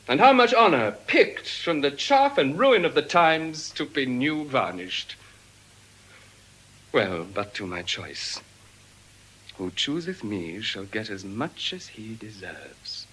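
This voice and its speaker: Haughty aristocratic suitor, classical verse drama, grand declamation, highly animated intonation, mid-pitched. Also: male voice, rough recording. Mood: haughty, deliberating. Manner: declamatory, pompous. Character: proud, pompous nobleman suitor, full of self-regard. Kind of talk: classical stage verse acting.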